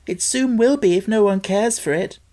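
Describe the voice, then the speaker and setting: A woman voicing an earnest, excitable young girl who pleads with breathless, tumbling words. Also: medium pitch, normal speed, voice pushed up, natural voice. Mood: eager, urgent.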